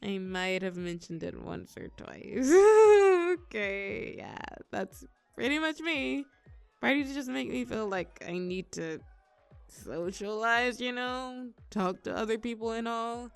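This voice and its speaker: A woman plays a shy young wallflower in an intimate audio roleplay. Her pitch swings widely while she talks at an unhurried pace, halting bashfully and teasing as she goes. There is a little creak in the voice, and music sits behind it. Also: no room sound, full character voice. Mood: awkward, playful. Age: young adult. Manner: bashful, halting, giggly, expressive. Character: shy, awkward wallflower birthday girl, young adult, warmly teasing. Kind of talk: scripted audio roleplay, natural intimate conversational acting.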